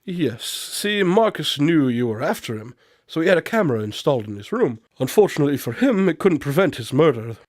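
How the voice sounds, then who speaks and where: A man playing a shrewd, unflappable detective in a radio drama, measured and knowing as he confronts a suspect. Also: fairly high pitch, very animated, darkish tone, dry recording, studio quality. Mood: accusing, confident. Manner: measured, knowing, coolly accusatory. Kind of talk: amateur radio drama acting.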